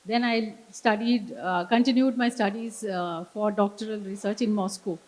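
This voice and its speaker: A woman's voice: mid-range voice, steady tone, a little slow, darkish tone, low-quality recording. A woman interpreting live on stage, relaying speech in careful segments.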